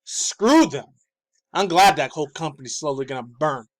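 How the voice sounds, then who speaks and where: A man in his thirties ranting about pop culture news, loud, profane and heated, shifting between yelling and low muttering, with a slightly raspy voice. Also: animated, normal speed, dry recording. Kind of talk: angry ranting commentary.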